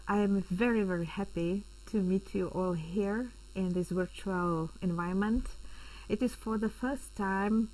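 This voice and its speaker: A woman hosting an online webinar in English, speaking haltingly with many fillers and restarts over a computer mic on a video call. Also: lowish voice, a little mellow, rough recording. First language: Czech. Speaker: webinar host and project coordinator. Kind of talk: hesitant opening of an online webinar.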